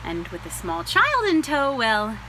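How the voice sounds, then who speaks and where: An actress playing a proud, articulate woman physician of a bygone era, brisk and formal with a flirtatious streak, at a theatrical table read. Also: fairly high pitch, huge pitch swings, sharp tone, a little hiss or hum, heavily acted, low-quality recording. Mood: eager, flattered.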